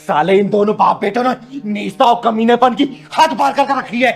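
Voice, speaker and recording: A man's voice: very high voice, normal speed, vocal fry, faint music behind. A man acting a fierce, protective kinnar elder who scolds, threatens and boasts in a melodramatic short film. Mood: furious. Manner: scolding, threatening, boastful, speaks of self by name. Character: Chingari, fierce kinnar (transgender woman) avenger, protective elder.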